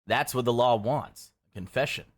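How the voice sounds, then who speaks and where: A man reading scripted second-person dramatic narration in a grim, measured storytelling manner. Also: medium pitch, dry recording, clean studio sound.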